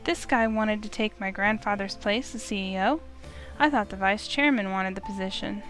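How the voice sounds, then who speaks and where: A woman's voice: mid-range voice, normal speed, fairly bright tone. A woman reading a visual novel aloud as dramatic first-person narration, with music behind her. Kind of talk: dramatic narration of a game's text.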